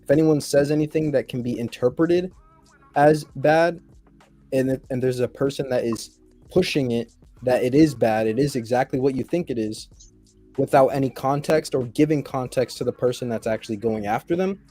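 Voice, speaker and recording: A man on a panel explaining his view in a measured way. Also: mid-range voice, dark tone, faint music behind.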